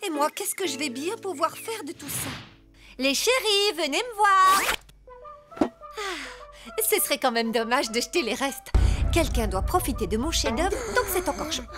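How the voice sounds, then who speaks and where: High fussy cartoon pet owner lady, scolding and cooing, swoopy, loud music behind. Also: expressive, moderate pace. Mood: doting. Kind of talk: French cartoon voice acting.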